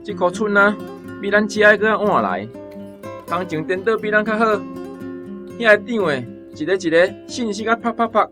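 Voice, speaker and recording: A man's voice: high voice, animated, moderate pace, music in the background. A man with a Taiwanese accent playing a gossiping, sneering young soldier in a radio drama.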